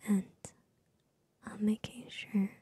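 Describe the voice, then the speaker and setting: Young woman doing soft asmr whispers right up on the mic, super mellow and dark, soothing, really slow. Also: mid-range voice, steady tone, breathy edge, dry recording. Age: young adult. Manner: slow, gentle, soothing, repetitive. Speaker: ASMR host. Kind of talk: ASMR positive affirmations. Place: small bedroom with gaming setup, indoors. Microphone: large microphone very close to the mouth.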